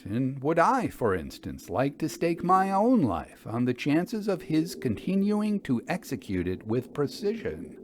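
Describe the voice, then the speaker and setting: A man narrating classic literature as an audiobook, in a measured, formal and theatrical way. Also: on the high side, wildly swooping pitch, slight background noise.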